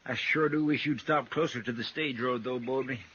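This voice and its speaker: An actor playing a countrified, loyal, grumbling frontier deputy in a 1950s radio western, plaintive and deferential. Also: male voice, medium pitch, steady tone, brisk, a bit bright, lightly acted. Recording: dull, hissy 1950s radio recording.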